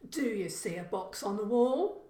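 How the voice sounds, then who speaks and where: A woman's voice: mid-range voice, expressive, unhurried, mellow, echoey room, natural voice. A woman voicing a confident, burly middle-aged burglar father who gives blunt orders in a crime story. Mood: brisk, instructing.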